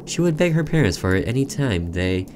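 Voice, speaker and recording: A man reading visual novel narration aloud in a dramatic, deliberate storytelling manner, with casual commentary as a gaming host. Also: mid-range voice, sing-song, a little mellow, slight background noise.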